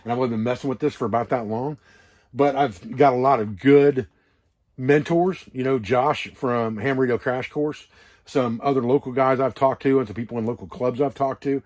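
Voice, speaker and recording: Man, medium pitch, informal instructional vlog, rambling explanatory delivery, conversational. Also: steady tone, moderate pace, a little mellow. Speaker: outdoor preparedness instructor and video host. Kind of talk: casual instructional vlog talk. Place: wall tent at a hunting camp.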